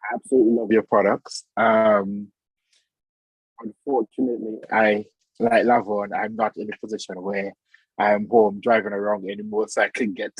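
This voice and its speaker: A man's voice: lowish voice, husky, no echo, poor audio. A man on a late-night video call panel, speaking earnestly and reflectively, a little sleepy. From Saint Vincent. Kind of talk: online panel discussion.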